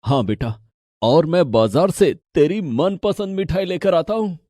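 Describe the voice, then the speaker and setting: A man's voice: a little high, measured pace, mellow, no room sound, clean studio sound, close to an everyday voice. An actor playing a concerned family man in a story, speaking emphatically. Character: concerned male family member, husband or doting father.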